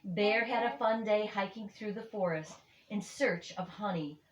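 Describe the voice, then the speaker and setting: An older woman reads a children's story aloud with expressive, emphatic phrasing in an echoey room. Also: mid-range voice, unhurried, highs cut off. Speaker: storybook reader.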